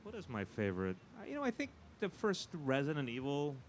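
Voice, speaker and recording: A man being interviewed as a film producer, chatting casually and haltingly, often repeating his words. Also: a little high, wildly swooping pitch, slight background noise.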